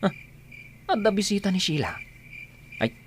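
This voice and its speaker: An actor playing a nosy, teasing village sidekick in an Ilocano radio comedy drama, with an Ilocano accent. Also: male voice, high voice, lively intonation, normal speed, slight background noise. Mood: teasing, curious.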